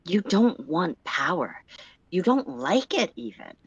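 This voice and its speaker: A woman voicing a power-hungry living crown that invades minds, speaking in a disdainful, hissing, drawn-out way. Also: on the low side, big pitch swings, slightly rough, no room sound, dull audio, full character voice. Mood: disdainful, despairing.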